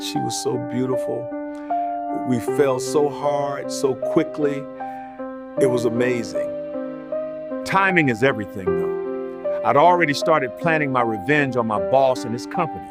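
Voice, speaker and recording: A man's voice: medium pitch, natural voice. A man playing a wistful middle-aged man confessing old regrets in a motion comic, over piano music. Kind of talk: dramatic motion comic monologue. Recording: loud piano music under the voice.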